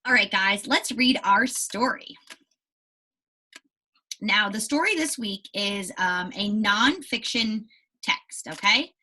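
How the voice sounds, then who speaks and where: A woman teacher giving a read-aloud lesson to young children, animated and explanatory with frequent check-in questions, in a slightly husky voice. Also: medium pitch, takes it slowly, pauses often. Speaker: elementary school teacher.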